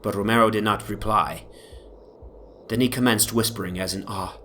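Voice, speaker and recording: Low-voiced man narrating gothic horror. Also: fairly even intonation, moderate pace, sharp tone. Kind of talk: dramatic reading of a gothic horror story. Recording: background noise from an ambient soundscape. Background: atmospheric soundscape.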